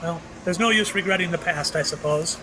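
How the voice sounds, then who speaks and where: A man's voice: on the high side, fairly quick, noisy background, close to an everyday voice. A man playing a mysterious, ageless shopkeeper in an audio drama, knowing and slyly wry, dropping cryptic, teasing hints. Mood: wistful. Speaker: actor in an audio play.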